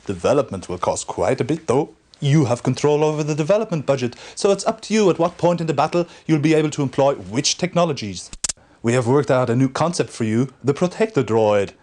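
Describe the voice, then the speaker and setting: An actor playing an eager, courteous military research professor in a video game briefing, explaining things politely. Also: male voice, medium pitch, animated, brisk, a little mellow, lightly acted. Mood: courteous, explanatory. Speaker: research scientist character in a game cutscene.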